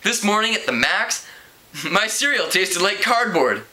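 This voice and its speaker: This is a man putting on an earnest teenage girl from a storybook. The voice goes high and bright, with animated, pointed indignation. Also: a little slow, heavily acted, low-quality recording. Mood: indignant. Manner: pointed, honest.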